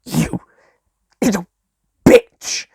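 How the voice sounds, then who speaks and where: A man's voice: very slow, darkish tone, no echo. Man acting out a pompous, hot-tempered villain, dry close sound. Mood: menacing. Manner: growling, exclaiming, protesting.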